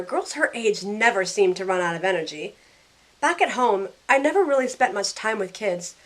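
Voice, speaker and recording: A woman's voice: medium pitch, natural voice. A woman playing a sarcastic, self-questioning young woman who narrates her own story in an audio drama. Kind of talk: first-person audio drama narration and dialogue.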